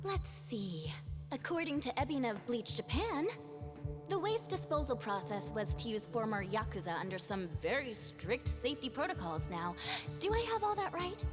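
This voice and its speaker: An actress playing a cheeky young female livestreamer in a video game, theatrical and mock-innocent as she addresses her viewers. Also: high voice. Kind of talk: livestream host acting in a video game cutscene. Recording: music behind the voice.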